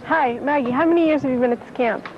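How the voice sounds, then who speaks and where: A woman's voice: sits high, fast. A young female interviewer for a camp newscast, asking questions hesitantly, with restarts. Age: teens. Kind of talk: amateur camp news interview. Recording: muffled camcorder tape with hiss.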